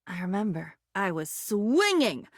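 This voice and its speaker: A woman's voice: medium pitch, sing-song, measured pace, dry recording. Anxious young female game character, melodramatic, musing, theatrical, widely swinging intonation, close dry sound. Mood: nervous, dreamy.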